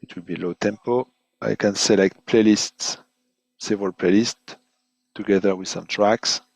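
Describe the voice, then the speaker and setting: Man, webinar presenter, unhurried pace, hesitant step-by-step phrasing, repeated words, close dry sound. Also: lowish voice, steady tone, gravelly, a little mellow, no room sound, rough recording. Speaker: product presenter giving a software demo. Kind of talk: webinar demonstration, unscripted walkthrough.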